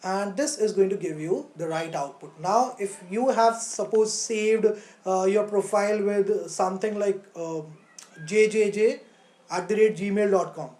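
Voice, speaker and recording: A woman's voice: medium pitch, measured pace, dark tone, reverberant. A woman teaching a live online coding lesson step by step, posing questions and answering them herself. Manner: instructional, poses questions then answers them, checks with "right?".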